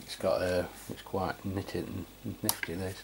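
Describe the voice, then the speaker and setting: A man's voice: low-pitched, flat delivery, a little slow, gravelly, noisy background. A man giving an informal, matter-of-fact product review as a channel host.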